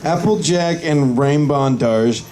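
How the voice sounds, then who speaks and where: A man's voice: on the high side, slow pace, dark tone, rough recording. A man on a live panel, bantering casually and reading aloud in a mock-dramatic way. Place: indoor convention panel room. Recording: noticeable background noise of live event.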